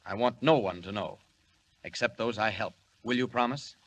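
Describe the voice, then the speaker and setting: An actor playing a calm, commanding alien hero in a 1940s radio drama, measured and reassuring. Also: male voice, lowish voice. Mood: earnest.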